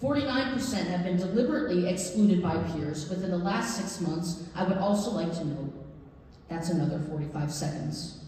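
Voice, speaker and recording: A woman performing a staged reading as an earnest, urgent activist addressing a school board, speaking emphatically with deliberate pauses. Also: fairly low pitch, warm tone, lightly acted. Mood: grave, measured. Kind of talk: staged reading of a public comment speech. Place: library district theatre stage. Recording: echoey hall, distant mic, faint noise.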